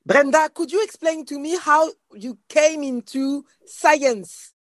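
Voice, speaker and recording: A woman's voice: high voice, takes it slowly. A woman asking questions as an interviewer.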